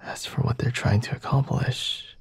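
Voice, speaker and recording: A man voicing a flirty, refined vampire master in an ASMR roleplay, speaking in a formal, teasing, deliberate way with a husky, breathy, often whispered delivery. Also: dry recording, lightly acted. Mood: smooth, teasing. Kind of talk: ASMR roleplay, addressing the listener.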